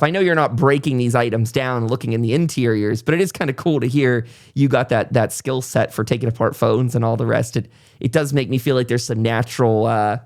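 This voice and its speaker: An American man hosting a podcast, chatting casually in a wordy, rambling, conversational way. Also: medium pitch. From United States. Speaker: talk show podcast host. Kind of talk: casual interview chat.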